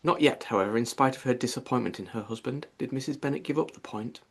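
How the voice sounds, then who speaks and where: A man's voice: medium pitch, fast talker, gravelly. A man reading a classic novel aloud as an audiobook narrator, in scripted literary narration.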